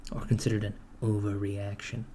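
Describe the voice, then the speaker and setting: A man playing a dry, deadpan villain's recruiter in a soft-spoken ASMR roleplay, measured and a little hesitant as he interviews henchmen. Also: on the low side, noisy background, lightly acted. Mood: businesslike, deadpan. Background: computer keyboard typing.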